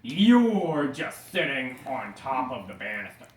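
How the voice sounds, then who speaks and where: An excitable, silly dragon puppet, swinging widely in pitch with slow, dramatic delivery. The childlike, stammering puppet-show voice comes from off the mic in an echoey room with faint noise. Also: female voice, far from the mic, heavily acted. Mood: defensive.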